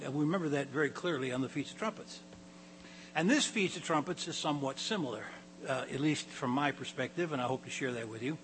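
An older man with a raspy voice, a pastor giving a halting sermon to his congregation, often clearing his throat and repeating words. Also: mid-range voice, fairly bright tone, slight background noise, low-quality recording. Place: church hall.

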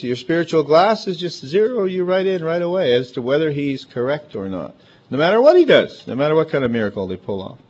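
A man with a big, emphatic voice, preaching a chatty, conversational sermon full of asides. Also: mid-range voice. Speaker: pastor. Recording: dull lo-fi recording.

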